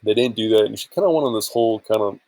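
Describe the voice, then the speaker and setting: A man's voice: lowish voice, fast, a little mellow, no echo. A man chatting casually in an informal, rambling and hesitant way for a screen-share vlog, sounding a bit tired. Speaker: vlogger and affiliate marketer.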